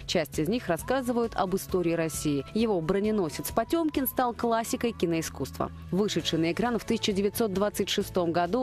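Female voice-over, formal and even, over light music. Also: medium pitch. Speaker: news presenter. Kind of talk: scripted voice-over narration.

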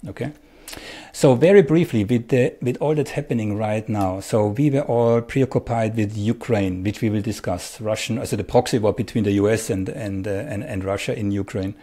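A man's voice: on the low side, moderate pace, warm tone. A middle-aged man whose first language is German, interviewing casually over a video call and tagging his remarks with "yeah?". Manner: chatty, tags lines with "yeah?". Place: home study with bookshelves.

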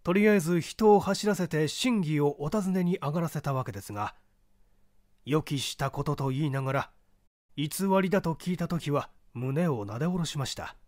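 Storybook role: big, solemn temple priest, done by a male narrator. Formal, preachy, slightly raised pitch, dry close sound. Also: animated, moderate pace, darkish tone, no echo, natural voice. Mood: sympathetic, relieved. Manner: formal, preachy, old-fashioned speech. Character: burly Buddhist head priest, solemn, admonishing man.

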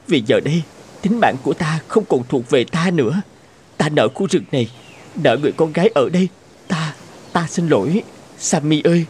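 A man's voice: on the high side, a little mellow, slight background noise, natural voice. A man voicing an earnest, dutiful young lover with a sorrowful heart in a cartoon fairy tale.

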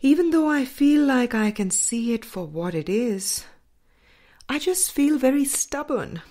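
A woman reading a spiritual audiobook as scripted narration, in measured, deliberate phrases with pauses. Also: high-pitched, animated, warm tone. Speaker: audiobook reader.

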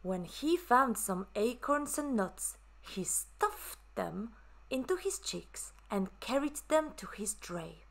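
A woman narrating a children's story for a puppet show in a measured, deliberate way. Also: fairly high pitch, big pitch swings, gravelly, fairly bright tone.

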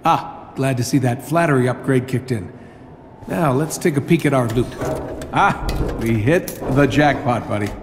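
An actor playing a cocky, wisecracking armored superhero inventor in a video game, with an American accent and glib, sarcastic banter. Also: male voice, medium pitch, moderate pace, a little hiss or hum, lightly acted. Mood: breezy, smug. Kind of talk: cinematic video game voice acting. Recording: loud music behind the voice.

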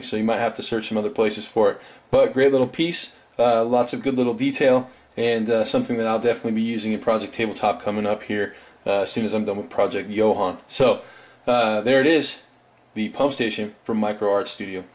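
A man in his thirties with a raspy voice, hosting a casual tabletop terrain review for his viewers, loose and chatty. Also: fairly low pitch, fairly even intonation, brisk. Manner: loose and chatty, full of fillers and asides. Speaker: tabletop hobby channel host.

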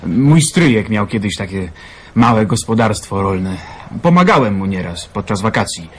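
A man's voice: lowish voice, big pitch swings, normal speed, breathy edge. An actor playing a reserved, guarded man hiding out on a remote farm, answering dryly and briefly, in a radio drama. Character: reserved man hiding out, a hired hand, withdrawn. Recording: faint background noise.

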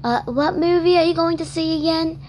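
A woman's voice: very high-pitched, fairly quick, a little mellow, a little hiss or hum, pitched above the performer's own voice. A woman voicing a meek, eager girl doll in a put-on British accent, going along with her friend.

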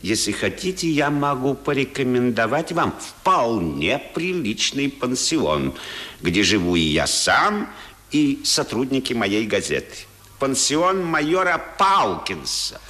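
An actor playing a pompous, boastful American colonel and newspaper editor in a Russian radio drama, declaiming grandly. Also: male voice, medium pitch, sing-song, slow, bright, forceful tone, heavily acted. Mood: boastful, courteous. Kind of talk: Soviet 1970s radio drama acting. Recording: roomy 1970s radio play recording.

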